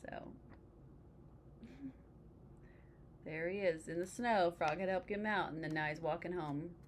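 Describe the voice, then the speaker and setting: A slightly low-voiced woman reads a picture book to children. She is expressive and often steps out of the text to chat and ask questions. There is faint noise behind her. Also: measured pace. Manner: expressive, explanatory, checks in with listeners. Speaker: read-aloud storyteller. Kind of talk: picture-book read-aloud with asides to young listeners.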